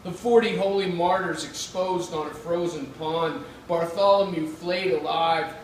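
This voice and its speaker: A man's voice: fairly high pitch, fairly even intonation, unhurried. American man reading aloud, very echoey room. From Pittsburgh, United States. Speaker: writer reading own work. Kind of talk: live literary reading, measured and dramatic. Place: indoor reading event. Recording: echoey room, far mic, faint noise.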